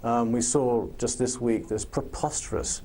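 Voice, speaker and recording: A man speaking as a pundit in a political panel discussion, pausing to think and repeating phrases for emphasis. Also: medium pitch, steady tone, warm tone.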